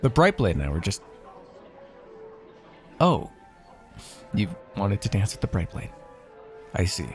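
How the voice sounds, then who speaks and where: A man's voice: fairly low pitch, heavily acted. A man playing a wry, teasing royal heir and former mercenary in an ASMR fantasy roleplay, with formal, theatrical phrasing. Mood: flustered, polite.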